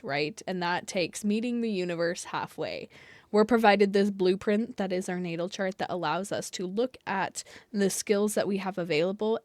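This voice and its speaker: A woman's voice: on the low side, no room sound. Chatty young woman with a head cold. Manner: chatty, rambling, laughs often. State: sick with a cold, nasal. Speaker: astrologer and channel host. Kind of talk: casual talk to camera.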